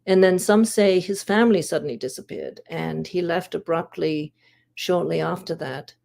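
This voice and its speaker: A woman giving a prepared history presentation in a measured way, with deliberate pauses. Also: on the low side, fairly even intonation, creaky, a little mellow. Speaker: volunteer history investigator presenting research.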